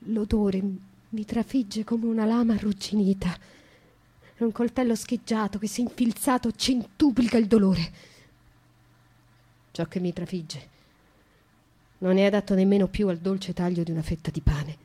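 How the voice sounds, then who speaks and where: An actress voicing a haunted young woman, hushed. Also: mid-range voice, fairly even intonation, slightly rough, lightly acted. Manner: slow, intimate, hushed narration. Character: haunted young woman recounting dark dreams. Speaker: actress in a radio monologue. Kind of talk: theatrical radio drama monologue.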